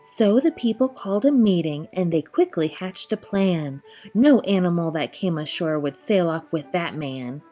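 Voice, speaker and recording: A woman storyteller reading a rhyming children's picture book aloud, expressively, in measured rhythmic verse. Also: on the low side, animated, warm tone, faint music behind.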